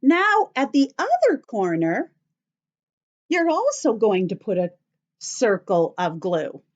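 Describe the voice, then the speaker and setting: A woman's voice: a little high, wildly swooping pitch, slow pace, highs cut off. A woman teacher talking young children through things in simple steps, with encouraging questions. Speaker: children's teacher leading craft and story time. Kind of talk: instructional talk to young children.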